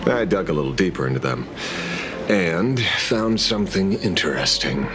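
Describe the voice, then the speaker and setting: A world-weary but sharp male private eye from a video game. His voice sits low and swings expressively through dry, wry musings. Music plays clearly behind him. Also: lively intonation, moderate pace. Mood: wry, focused.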